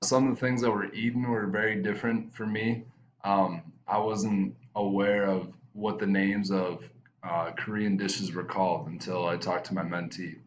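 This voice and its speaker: Casting note: male science teacher, low monotone voice, faint hiss behind. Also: normal speed, dull audio. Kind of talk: testimonial.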